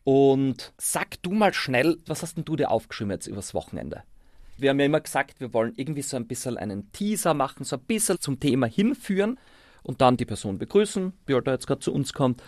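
A forty-year-old man with an Austrian accent talks casually and encouragingly. His voice sits at an ordinary male pitch. The sound is dry, with hardly any room on it. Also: sing-song, brisk, darkish tone, no echo. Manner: informal, encouraging. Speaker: primary school teacher and musician. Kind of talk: casual explaining and coaching.

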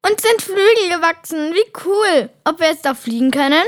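A child acts out a plucky young sibling in a children's radio play. The voice is high and youthful, reassuring and eager for adventure. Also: expressive, normal speed. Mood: delighted. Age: child, about ten.

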